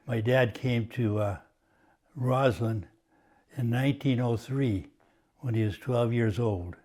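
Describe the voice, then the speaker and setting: Older man, slow halting speech, dark mellow voice, reminiscing, Croatian first language. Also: mid-range voice. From Washington State, USA. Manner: slow and halting, many uh pauses. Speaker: oral history interviewee. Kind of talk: reminiscing, oral history.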